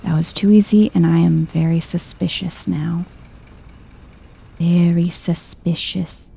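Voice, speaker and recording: A soft-spoken woman giving hushed ASMR-style game commentary, musing to herself in an unhurried, breathy way and often dropping into a whisper. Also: fairly low pitch, steady tone. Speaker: video game streamer, let's player.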